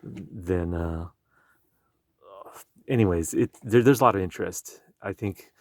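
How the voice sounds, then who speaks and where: A young man with Chinese as his first language, hosting a casual conversation and talking in halting phrases with many restarts and fillers. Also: sits low, a little mellow. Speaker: podcast host. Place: indoor room with a field recorder. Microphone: field recorder.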